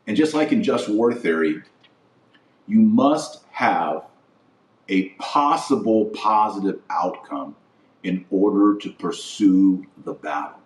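Man, lowish pitch, deliberate political-religious monologue, emphatic repetition, mic at a distance. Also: a little mellow. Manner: emphatic, deliberate, repeats phrases for weight. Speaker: podcast host and speaker. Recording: roomy echo, distant microphone.

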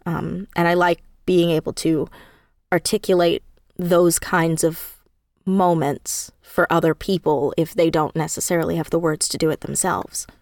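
A woman's voice: on the low side, little pitch movement, measured pace, a little mellow, no room sound, studio quality. A woman chatting casually as an interview guest, with an effusive, enthusiastic way of talking. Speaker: interview guest, audio drama creator.

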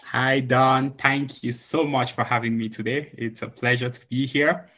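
A man's voice: mid-range voice, fairly even intonation, normal speed, sharp tone. A man from Cameroon, a podcast guest, telling his story earnestly with many filler ums. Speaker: podcast guest, nonprofit founder. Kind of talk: conversational storytelling.

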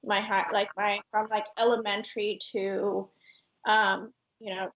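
Woman from pakistan chatting as a guest, medium pitch, kinda reflective, bit muffled. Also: little pitch movement, unhurried, fairly bright tone. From Karachi, Pakistan. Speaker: interview guest, fashion influencer. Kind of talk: casual personal storytelling.

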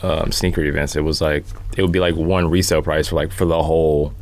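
A man speaking as a podcast guest in relaxed, informal conversation. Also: extremely low pitch, fast. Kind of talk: casual podcast chat. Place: in studio.

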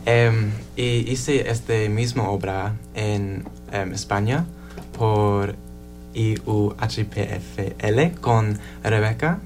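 Male college student, native English speaker, slow flat halting Spanish, faint guitar underneath. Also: lowish voice. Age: young adult. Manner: halting learner Spanish with many "em"s. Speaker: student actor guest.

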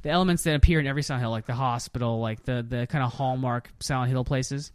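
A man's voice: medium pitch, steady tone, quick pace, smooth, dry recording, studio quality. A man chatting casually as a podcast host reviewing films, opinionated and free with swearing. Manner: profane, opinionated. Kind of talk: casual film review chat.

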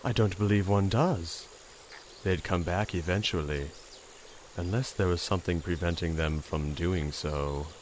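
A cryptic talking toad, voiced by a woman, speaks in an extremely deep voice. The phrasing is dry and formal, full of understatement. Faint outdoor noise hums behind it. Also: unhurried, darkish tone.

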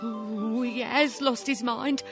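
An actress playing a flustered, wary English working-class housekeeper who exclaims indignantly in a theatrical radio drama style. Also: female voice, fairly high pitch, steady tone, slow, a bit bright, faint music behind. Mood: astonished.

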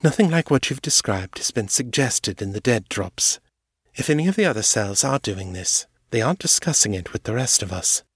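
A man's voice: on the low side, animated, normal speed, fairly bright tone, breathy edge, no room sound, clean studio sound, voice pushed up, full character voice. A man voicing a haughty, aristocratic female vampire who speaks in a polished, condescending way. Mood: measured, cool. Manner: polished, formal, patronizing.

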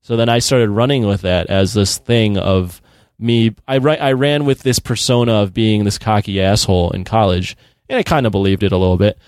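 A man's voice: fairly low pitch, normal speed, a little mellow, no echo. A man in his thirties hosting a podcast, laid-back and chatty, laughing often. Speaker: podcast host. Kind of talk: casual chat.

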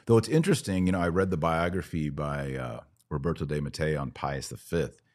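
Really low bass guy chatting as a podcast host, informal and opinionated religious commentary. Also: animated, fairly quick.

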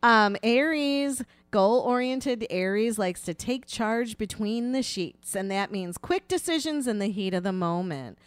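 A woman's voice: a little high, expressive, unhurried, few pauses, clear, resonant tone, no echo. A woman co-hosting a casual podcast, chatting and reading aloud in a frank, playful, informal way. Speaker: podcast co-host.

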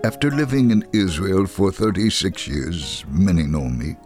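A man's voice: low-pitched, very animated, moderate pace, a little mellow, faint music behind. An actor giving a dramatic memoir reading as an older male survivor looking back on his life. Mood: reflective.

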